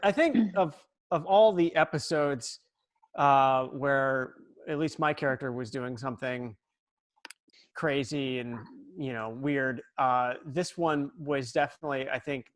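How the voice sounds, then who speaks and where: A man's voice: mid-range voice, measured pace. A young man, an actor, casually reminiscing in a loose conversational way.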